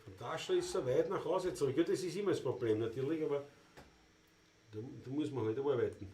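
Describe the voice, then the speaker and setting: A man's voice: mid-range voice, brisk. A man with a Viennese accent, a Wienerlied musician hosting a livestream, telling rambling stories with stammered restarts. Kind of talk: casual banter and singing. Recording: roomy sound with guitar.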